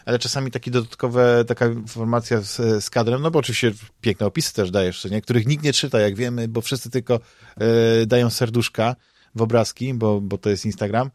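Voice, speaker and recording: A man's voice: medium pitch, fairly even intonation, a little fast, a little mellow, no room sound. A Polish man speaks in a mid-range voice, recorded close and dry. He chats like a podcast host, loosely and hesitantly. He circles back over his words as he looks for the right phrase. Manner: hesitant, rambling, repeats words while searching for phrasing. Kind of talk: casual chat about games and comics.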